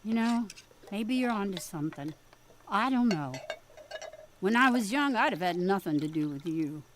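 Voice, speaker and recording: A woman's voice: mid-range voice, lively intonation, normal speed, slight background noise, close to an everyday voice. Actress as a folksy, plainspoken farm mother. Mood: wry, musing. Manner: homespun, rambling storytelling. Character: folksy farm mother, wry, plainspoken, remorseful about her son. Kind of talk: audio drama acting, conversational.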